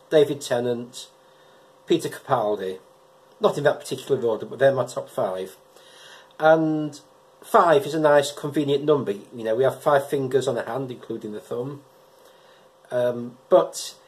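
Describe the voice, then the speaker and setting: A chatty middle-aged man with a cold talks straight to his camera. His voice sits slightly high for a man, and he stumbles and repeats words as he goes. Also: a little mellow. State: has a head cold. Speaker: vlogger reviewing episodes. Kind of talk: casual personal vlog talk. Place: living room indoors, and a car park outdoors at night.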